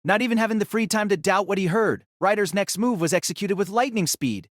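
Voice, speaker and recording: Higher-voiced male narrator, very dry sound. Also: no room sound. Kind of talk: scripted dramatic narration of a fantasy novel.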